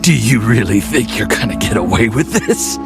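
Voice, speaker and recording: An actor playing a poisoned, dying foreign operative in a video game, defiant though weakened. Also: male voice, lowish voice, lively intonation, normal speed, gravelly, music in the background. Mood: defiant, strained. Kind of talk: dramatic video game voice acting.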